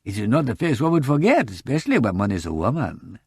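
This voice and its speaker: A man's voice: mid-range voice, very animated, fast talker, a little mellow, slightly rough, dry recording, studio quality, pitched above the performer's own voice. A man voicing a chatty young Frenchwoman working as a shop assistant, with a French accent and a raised, lilting voice. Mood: eager. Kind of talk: audiobook character voice.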